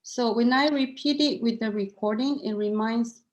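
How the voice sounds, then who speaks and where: A middle-aged woman with a Cantonese accent answers interview questions in an earnest, candid way. She often repeats and restarts her words, and her voice sits a little high for a woman. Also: moderate pace. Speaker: guest. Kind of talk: interview conversation.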